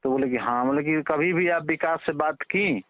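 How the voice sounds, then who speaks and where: Bihari male, fairly high voice, persuasive and respectful in casual talk, dry telephone-quality recording. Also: normal speed, no room sound. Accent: Bihari Hindi. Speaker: father appealing to a reporter. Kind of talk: casual phone conversation. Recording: tinny, narrow-band phone call recording.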